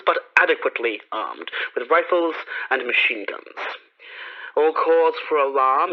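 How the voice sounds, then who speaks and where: A man's voice: medium pitch, lightly acted. Muffled radio army captain, played by a man. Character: confident army signal corps captain reporting from battlefield, growing uneasy. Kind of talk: 1930s radio drama acting.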